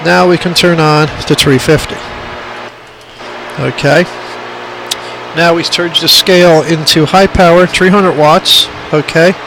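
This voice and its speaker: Casting: man, slightly high voice, plainspoken hobbyist walking through gear informally, repeats key points, faint noise on a homemade phone recording. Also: fairly even intonation, a little slow, pauses often. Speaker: radio hobbyist demonstrating equipment. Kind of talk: informal hands-on tutorial. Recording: clearly noisy amateur phone recording.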